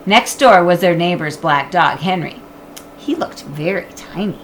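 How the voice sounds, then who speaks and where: Bright, animated woman reading a storybook. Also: fairly low pitch, measured pace, slight background noise. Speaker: audiobook reader. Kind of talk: expressive children's storybook narration.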